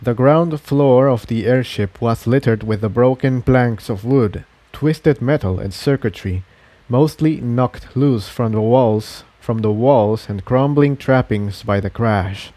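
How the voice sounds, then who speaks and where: A man's voice: mid-range voice, slow, mellow, dry recording. Man narrating a story, very dry recording. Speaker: fan fiction narrator.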